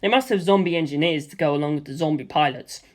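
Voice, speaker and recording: A man's voice: on the high side, fast talker. A man narrating an action story. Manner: lively, with spoken gunfire sound effects. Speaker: audiobook reader. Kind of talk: first-person story narration.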